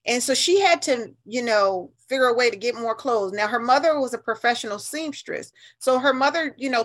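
A woman in her fifties with an American accent, telling stories conversationally in an animated, emphatic, colloquial way as an interview guest. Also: a little high. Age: 50s. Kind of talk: conversational storytelling.

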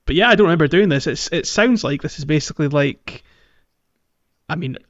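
A man's voice: a little high, lively intonation, a little fast, fairly bright tone, no room sound. A man chatting casually and jokily as a gaming podcast co-host. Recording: slightly dull, lo-fi microphone.

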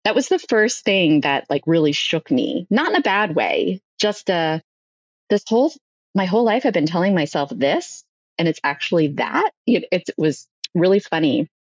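A woman's voice: fairly low pitch, very animated, normal speed, breathy edge, no echo, lo-fi sound. A woman telling a personal story as a podcast guest, conversationally, often acting out bits of dialogue.

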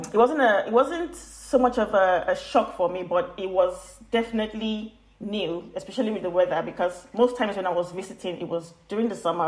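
A woman's voice: on the low side, darkish tone. A woman from Nigeria with a Nigerian accent, speaking as an informal, chatty podcast guest who feels her way through her phrasing. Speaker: guest, university postgraduate admissions officer. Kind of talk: conversational podcast interview. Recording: roomy, echoey sound.

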